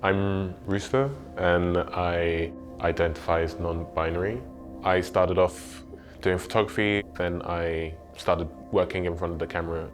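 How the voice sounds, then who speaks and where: A man speaking in a measured, unhurried way in a documentary interview, with some "you know" fillers. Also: low-pitched, level tone, over music.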